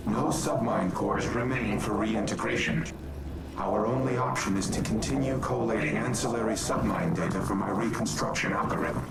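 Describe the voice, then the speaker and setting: A man voicing an ancient, solemn artificial intelligence in a game cutscene, speaking in formal, measured, grave phrases. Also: on the low side, gravelly. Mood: formal, resolute. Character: ancient AI war machine, male, solemn protector. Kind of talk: scripted game cutscene dialogue. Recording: reverberant, music behind.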